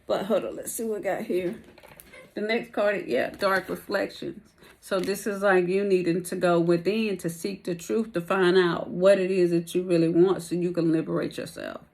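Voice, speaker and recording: A woman's voice: lowish voice, steady tone, moderate pace, gravelly, darkish tone, slight background noise. Chatty woman losing her voice. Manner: rambling, slangy, calls listeners baby and y'all. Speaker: tarot reader. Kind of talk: casual spiritual tarot reading.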